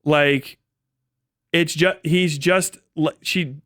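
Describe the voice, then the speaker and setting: A man talks off the cuff as a live commentator, in a fairly high, very clear voice recorded close and dry. His delivery is rambling and incredulous, full of slang and swearing. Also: steady tone, extremely slow, fairly bright tone, no room sound. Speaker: live streamer and political commentator. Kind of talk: casual unscripted livestream commentary.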